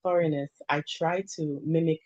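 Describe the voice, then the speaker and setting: A Ghanaian woman with a Ghanaian accent, an immigration lawyer answering interview questions in a measured, advisory way. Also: sits low, steady tone, darkish tone, dry recording. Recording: dull lo-fi sound.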